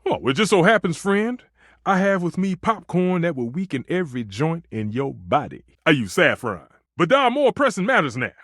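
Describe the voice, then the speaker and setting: An actor playing a proud, temperamental, food-obsessed stove chef in a video game, with pompous, flowery delivery and exasperated outbursts. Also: male voice, on the high side, big pitch swings, moderate pace, fairly bright tone, dry recording, studio quality, heavily acted. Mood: indignant, dramatic. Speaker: voice actor in a dating simulator game. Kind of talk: theatrical video game character acting.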